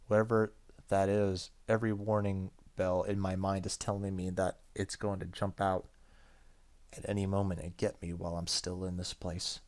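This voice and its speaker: A man's voice: deep voice, little pitch movement, normal speed, darkish tone. A man playing a horror game, reading its story text aloud dramatically and commenting casually. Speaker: gaming YouTuber. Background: game music.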